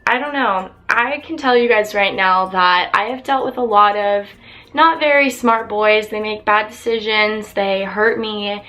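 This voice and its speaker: A young woman vlogger chatting openly to camera while answering viewer questions, her voice a little raspy from losing it. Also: medium pitch, measured pace, pushed and bright, soft background music. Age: young adult. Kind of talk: casual chat to camera.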